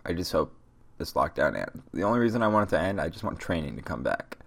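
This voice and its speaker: A young man with a very low, gravelly voice talks through a stuffy nose from a cold. He rambles along in a casual solo podcast rant, swearing constantly as he gives motivational talk. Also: big pitch swings, fast, fairly bright tone. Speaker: podcast host.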